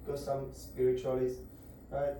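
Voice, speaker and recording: A man giving a devotional scripture lecture to a group indoors, halting and searching for words as he explains. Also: mid-range voice, completely flat delivery, warm tone, slight background noise. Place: indoor gathering room. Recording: very echoey room, voice far off.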